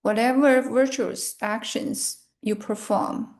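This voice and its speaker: A woman leading a guided meditation and reciting prayers in a measured, solemn manner, in short phrases with long pauses. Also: on the high side, steady tone, a little mellow.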